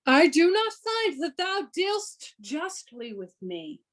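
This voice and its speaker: A woman playing an aggrieved, gullible gentleman suitor in a Shakespearean radio play, indignant and petulant. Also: very high-pitched, very animated, takes it slowly, exaggerated voice.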